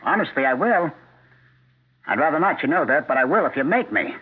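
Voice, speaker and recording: A man's voice: fairly high pitch, big pitch swings, fairly quick, slightly rough. An actor playing a cold, unhinged gunman in a 1970s radio drama, controlled, menacing and self-justifying. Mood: threatening. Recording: muffled, narrow-band 1970s radio broadcast.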